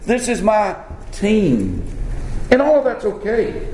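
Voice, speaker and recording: A man with a Southern accent preaches in a folksy, chatty manner, leaning on little fillers as he goes. His voice sits a bit high for a man. The room is echoey, with a faint hum of ambience behind him. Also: wildly swooping pitch, slow, a little mellow. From born South Alabama, grew up North Florida. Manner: folksy, conversational, many "you know" fillers. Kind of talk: sermon.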